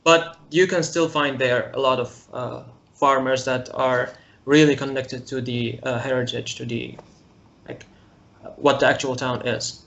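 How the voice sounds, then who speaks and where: Halting young man from Syria. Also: medium pitch, fairly even intonation, gravelly, fairly bright tone. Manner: halting, searching for words. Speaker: interview guest. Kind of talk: casual interview. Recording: muffled lo-fi recording.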